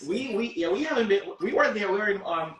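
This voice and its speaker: A man's voice: sits high, animated, fast, a bit bright, echoey room. A man co-hosting a casual talk show, chiming in with short agreements and playful remarks. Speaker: co-host. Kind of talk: casual chat.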